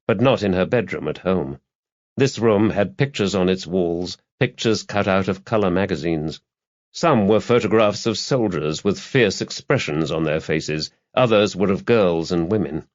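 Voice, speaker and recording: A man's voice: low voice, no room sound. A man reading a crime thriller novel aloud as a scripted audiobook narration. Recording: dull, lo-fi, dry audiobook recording.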